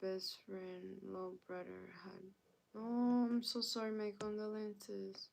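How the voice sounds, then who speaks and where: A woman's voice: medium pitch, little pitch movement, slow pace, vocal fry, darkish tone, slight background noise. A young woman from Canada hosting a casual live stream, greeting viewers by name and answering their chat comments. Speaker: livestreamer.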